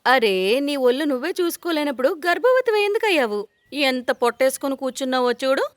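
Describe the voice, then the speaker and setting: A woman's voice: sits high, expressive, fairly quick, no room sound. A woman voicing an emotional young unmarried sister in a cartoon moral story, speaking dramatically. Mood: mocking. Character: young unmarried sisters, haughty, later tearful and devoted.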